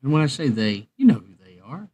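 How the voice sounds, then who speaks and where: A man's voice: medium pitch, very animated, fairly quick, dark tone, husky. Casual, rambling man hosting a livestream. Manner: rambling, meandering. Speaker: music livestream host.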